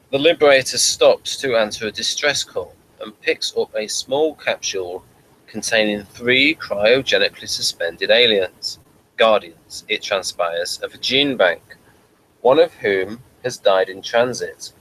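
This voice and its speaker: A man's voice: on the low side, lively intonation, takes it slowly, fairly bright tone, poor audio. A man hosting a casual TV review show, talking and reading out material. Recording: faint background noise.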